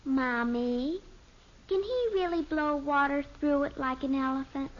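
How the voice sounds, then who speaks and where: A woman's voice: sits high, a little slow. A woman playing an anxious, whining little girl in a 1950s American radio sitcom, pleading in an American accent. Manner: whiny, pleading. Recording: dull noisy old radio recording.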